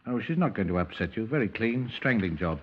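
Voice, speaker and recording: An actor playing an affable, easygoing British county police sergeant in a 1950s radio drama, chatty and understated. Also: male voice, fairly low pitch, very animated, fairly quick. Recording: dull, reverberant 1950s radio recording.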